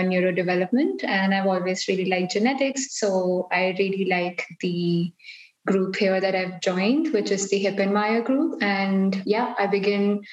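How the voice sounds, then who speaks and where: Young woman PhD guest from India, mid-pitch, thoughtful and hesitant, echoey room. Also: muffled recording. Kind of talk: conversational interview answers.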